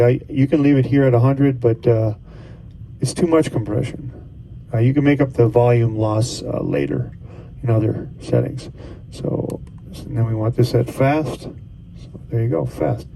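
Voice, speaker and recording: A man's voice: mid-range voice, mellow, slight background noise, low-quality recording. A man casually talking through a device setup step by step as a tutorial host. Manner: casual, talks through each step as he goes.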